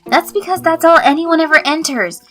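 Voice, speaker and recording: A woman plays a shy, sweet teenage girl in a storybook reading. The voice is high and bright, earnest, over music. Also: a little fast. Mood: encouraging.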